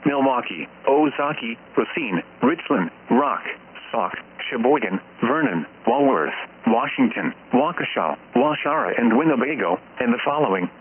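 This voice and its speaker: Noisy radio broadcast, synthetic male announcer, mid-pitched, stiff measured delivery, formal alert bulletin. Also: creaky, phone-quality sound. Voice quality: synthetic text-to-speech voice. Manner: stiff, measured, impersonal reading. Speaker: automated weather radio announcer.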